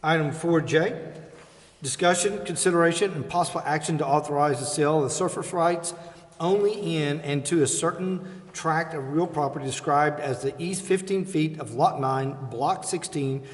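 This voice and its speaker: Guy chairing city council, formal procedure, voice a bit high, lots of room echo. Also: moderate pace. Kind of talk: formal meeting procedure, reading agenda items. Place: city council chamber, indoors. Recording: echoey hall, long reverb.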